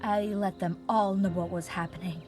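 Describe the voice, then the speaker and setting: A woman playing a traumatized, vengeful mage in a dramatic audio roleplay monologue, speaking with emotion in deliberate, halting phrases. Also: medium pitch, steady tone, darkish tone, lightly acted. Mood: grim, haunted. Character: traumatized, vengeful female mage recounting her past. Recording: music bed behind the voice.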